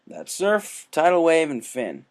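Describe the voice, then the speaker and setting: Man acting an upbeat pony swim captain, raised pitch. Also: huge pitch swings, a little slow, exaggerated voice. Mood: enthusiastic. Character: upbeat male earth pony swim team captain, friendly coach.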